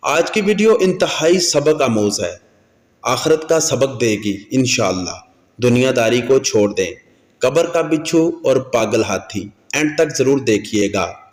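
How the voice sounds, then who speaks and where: A man tells a scripted moral story in a mid-pitched voice. The recording is very echoey, with a long hall reverb trailing his words. He reads as a storyteller narrating to listeners. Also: lively intonation, unhurried.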